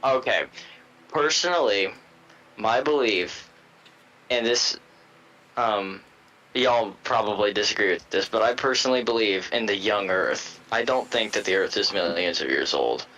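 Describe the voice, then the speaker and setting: Bright-voiced American man on a podcast. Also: fairly low pitch, a little slow. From United States. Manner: argues a point at length, stumbles on restarts. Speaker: podcast co-host. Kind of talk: casual podcast chat. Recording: dull lo-fi sound with faint noise.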